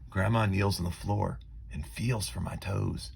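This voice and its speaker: A man reading a children's story aloud in an expressive, dramatic way with deliberate phrasing. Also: deep voice, creaky, slight background noise. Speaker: storybook reader.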